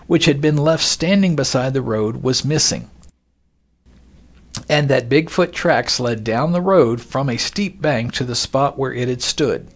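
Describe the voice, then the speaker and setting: A man narrates from a script in a medium-pitched voice. His delivery is measured and expository, like a podcast narrator laying out an account, and he clearly announces the passages he quotes.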